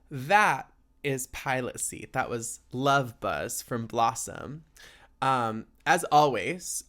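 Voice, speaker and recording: A man's voice: on the high side, a bit bright. A man hosting a casual solo podcast, laid-back and rambling, with plenty of fillers and tangents. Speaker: podcast host.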